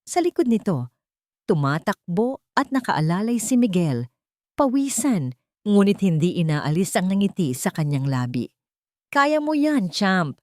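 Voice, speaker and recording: A woman with a Filipino accent reading a scripted dramatic story in a sentimental, measured storytelling delivery. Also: fairly low pitch, animated, mellow, dry recording, studio quality.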